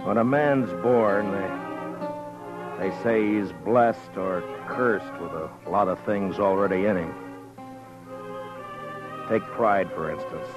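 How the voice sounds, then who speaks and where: A laconic American frontier marshal with a very deep voice. He talks plainly and haltingly, tender under the weariness. It sounds like a dull 1950s radio western with loud music behind. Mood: brooding. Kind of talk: 1950s radio western acting, first-person narration and dialogue.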